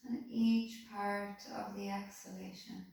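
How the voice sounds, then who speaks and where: A woman calmly leading a guided body-scan meditation, giving soothing, unhurried instructions. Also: medium pitch, creaky. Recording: very echoey room, voice distant from mic.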